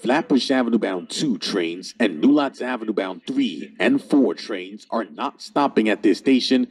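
A man reading scripted transit service announcements as an announcer, in a formal, measured manner. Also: on the low side, expressive, a little mellow, breathy edge.

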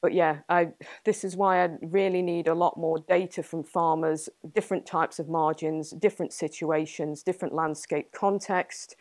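A young Englishwoman with a slightly low voice fields questions in a small meeting room, picked up by a camera at a distance. She thinks out loud, hedges her points and laughs softly between them. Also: fairly even intonation, moderate pace, hardly any pauses, no room sound. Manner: thoughtful, hedging, laughs often. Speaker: guest speaker, entomologist. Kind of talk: answering audience questions after a talk.

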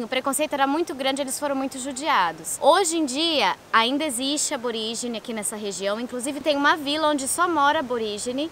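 A woman's voice: on the high side, bright, forceful tone, a little hiss or hum. A woman in her thirties from Brazil, chatting and explaining to viewers as a travel vlogger outdoors. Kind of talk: casual travel vlog narration. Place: outdoors at a beach and a park picnic table.

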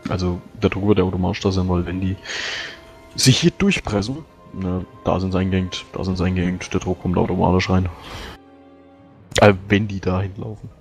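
A man's voice: low voice, steady tone, moderate pace, creaky, soft background music. A man playing a tabletop game, thinking aloud about strategy in a hesitant way. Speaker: player. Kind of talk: casual strategy discussion.

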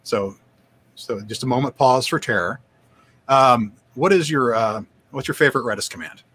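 An older man, hosting a casual live stream in a halting, self-deprecating way. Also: medium pitch, a bit bright, breathy edge. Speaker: host, developer advocate. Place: indoor home room, on a video call.

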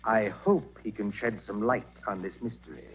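An actor playing a precise, formal, impatient museum director in a 1940s radio drama. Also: male voice, lowish voice, moderate pace, over loud music, natural voice. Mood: formal, concerned. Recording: dull 1940s radio recording.

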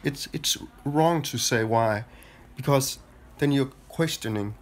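A man in his twenties with a Danish accent and a stuffy nose from a cold, musing in a halting, rambling monologue full of restarts, recorded on a phone held at arm's length in a small room. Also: mid-range voice. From Denmark. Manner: halting, many restarts and filler sounds. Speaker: vlogger. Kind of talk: rambling personal monologue to camera.

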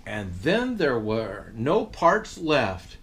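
Older guy as santa reading a kids story, jolly n expressive, really up and down pitch. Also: extremely slow, slight background noise. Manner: expressive, jolly delivery with chuckles. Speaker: storyteller dressed as Santa Claus. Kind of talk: animated storybook reading for children.

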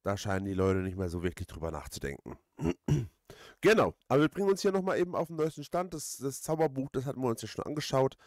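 A man's voice: medium pitch, sing-song, fast talker, no echo, studio quality. A man giving casual let's play commentary as host, rambling hesitantly and repeating his phrases.

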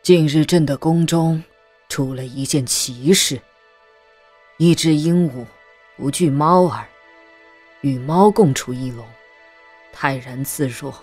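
A woman's voice: low voice, slow, long pauses, darkish tone, slightly rough, soft background music, heavily acted. A woman playing an imperious ageing empress holding court, stately and commanding, in a historical audio drama.

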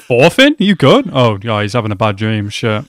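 A young man talks through an anime episode from a small room at home, wearing a headset. His voice sits at an ordinary pitch, and the sound is close and dry. He chats loosely, swears freely and keeps calling the characters bro. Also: wildly swooping pitch, moderate pace, no room sound. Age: young adult. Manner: chatty, swears a lot, calls characters bro. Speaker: anime reaction host. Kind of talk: casual reaction commentary. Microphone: headset mic.

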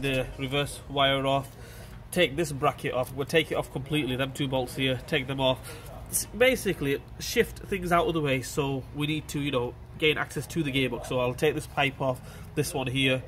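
A man's voice: fairly high pitch, a bit bright. A man with a blocked, stuffy nose talks viewers through a car repair in a casual, chatty way, recorded on a phone held close to the engine. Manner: chatty, informal, addresses viewers as guys. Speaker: mechanic presenting a repair video. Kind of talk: casual how-to commentary while working.

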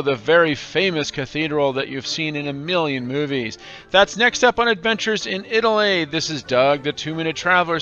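A man's voice: sits high, bright, forceful tone. A middle-aged man hosting a travel vlog, narrating in an upbeat, enthusiastic way. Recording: dull lo-fi voice-over track. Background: classical music.

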